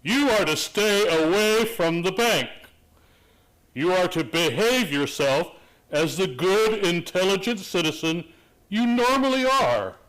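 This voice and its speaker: A man acting a stern authority figure in a radio play, with a high voice and slow delivery. He sounds patronizing and deliberate, as if scolding someone beneath him. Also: expressive, a bit bright, low-quality recording.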